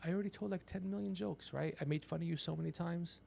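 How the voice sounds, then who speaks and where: A man's voice: a little high, fast, creaky, dark tone, slight background noise. A man in his fifties chatting casually on a livestream as host, brash and ranting, swearing freely. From Persian background. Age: 50s. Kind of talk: casual profane livestream chat.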